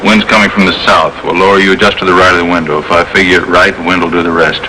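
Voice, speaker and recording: An actor playing a plain-spoken, practical crew chief, terse and factual, in a 1950s police drama. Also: male voice, low voice, flat delivery, fast, sharp tone. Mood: practical, grave. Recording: noisy vintage radio recording.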